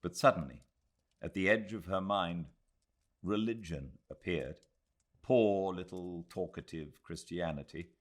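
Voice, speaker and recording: A British man reading literary narration as an audiobook narrator, measured and expressive. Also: deep voice. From Britain.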